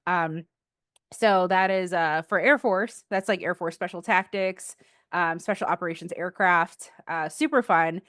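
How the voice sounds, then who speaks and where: Smooth-voiced woman in her thirties. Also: lowish voice, measured pace, fairly bright tone, dry recording, clean studio sound. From Philippines. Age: 30s. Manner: chatty, with frequent fillers and restarts. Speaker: guest, defense contractor and Air Force veteran. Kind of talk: casual interview conversation.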